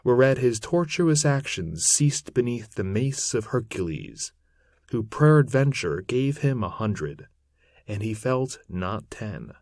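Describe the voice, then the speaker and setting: A man narrating an old epic poem aloud in a solemn, measured, theatrical manner with archaic phrasing. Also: mid-range voice, very animated, a little mellow, dry recording, studio quality. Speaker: narrator reading poetry aloud. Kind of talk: dramatic recitation of an epic poem in archaic English.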